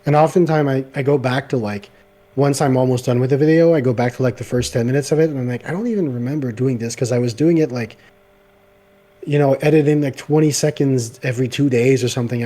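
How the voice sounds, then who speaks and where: A man whose first language is French, chatting casually about making videos; his voice is slightly gravelly, he hesitates and fills with "like", and he is picked up on a laptop microphone. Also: mid-range voice, steady tone, fast, dark tone. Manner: hesitant, many "like" fillers. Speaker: guest video creator. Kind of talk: casual podcast chat.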